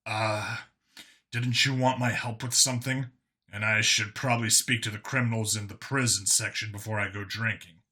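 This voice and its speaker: A man's voice: lowish voice, steady tone, normal speed, sharp tone. A man voicing a wary human man in a narrated science fiction story, dry, evasive and a little awkward. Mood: hesitant.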